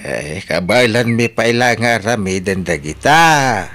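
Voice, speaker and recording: A man's voice: fairly low pitch, animated, measured pace, fairly bright tone. A man playing an ageing, genial father of grown children in an Ilocano radio drama, with an Ilocano Filipino accent.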